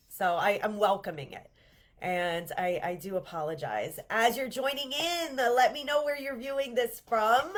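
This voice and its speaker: A congested woman with a cold, leading a live-streamed manifestation workshop in an earnest, encouraging way and often restarting her sentences. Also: mid-range voice. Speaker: manifestation coach hosting a livestream workshop. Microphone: livestream camera mic.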